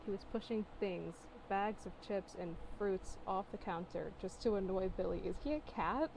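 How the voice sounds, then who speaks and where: A woman's voice: medium pitch, normal speed, vocal fry, a little mellow. Giggly woman reading fiction, noisy recording. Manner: giggly, incredulous, breaks off to comment. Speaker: host reading fan fiction aloud. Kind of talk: casual dramatic reading with mocking asides.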